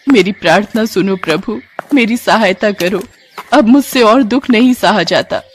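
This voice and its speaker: A woman's voice: medium pitch, moderate pace, a little hiss or hum, lightly acted. Woman as a meek, devout young daughter-in-law. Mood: tearful pleading. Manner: respectful, pleading. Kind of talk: devotional story dialogue.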